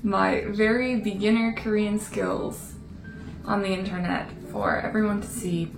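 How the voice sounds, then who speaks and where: A woman talks straight to camera in a relaxed, self-deprecating way. Her voice is mid-pitched with a gravelly edge. The room echoes, and soft music plays underneath. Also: a little slow. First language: English. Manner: chatty, self-deprecating, laughs now and then. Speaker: vlogger. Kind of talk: casual talking-head vlog. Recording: roomy echo. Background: faint background music.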